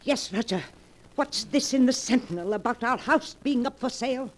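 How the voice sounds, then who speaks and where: An actress playing an elderly, fretful but firm aunt in a 1940s radio drama. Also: female voice, on the high side, moderate pace, lightly acted. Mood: alarmed, indignant. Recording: noisy vintage 1940s radio recording.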